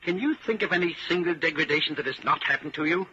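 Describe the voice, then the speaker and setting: An actor in a 1940s radio drama playing a coldly controlled party inquisitor, patient, precise and menacing. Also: male voice, on the high side, quick pace, bright, forceful tone. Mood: cold, triumphant. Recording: dull, noisy old 1940s radio recording.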